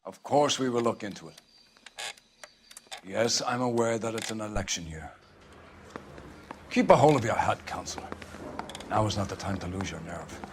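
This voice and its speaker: An actor playing a gruff, sardonic older police captain giving orders in a naturalistic video game cutscene. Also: male voice, lowish voice, animated, moderate pace, long pauses, a little hiss or hum. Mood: placating.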